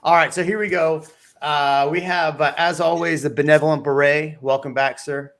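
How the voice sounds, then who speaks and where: A man's voice: on the high side, steady tone, moderate pace, fairly bright tone. A man hosting a casual real estate livestream, joking and teasing his co-hosts. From Texas. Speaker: real estate show host. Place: home studio on a live stream.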